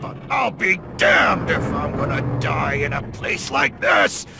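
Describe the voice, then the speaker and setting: Casting: cocky game fighter, very animated gravelly delivery, unhurried, sarcastic, boastful. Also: male voice, on the high side, exaggerated voice. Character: Magni, brash boastful sarcastic young male fighter. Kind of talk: video game cutscene acting. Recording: loud noise behind game dialogue.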